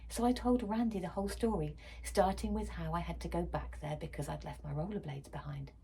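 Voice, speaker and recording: A woman's voice: fairly low pitch, fairly quick, creaky, warm tone, a little hiss or hum, low-quality recording. A woman reading a children's story aloud expressively, as for a school library.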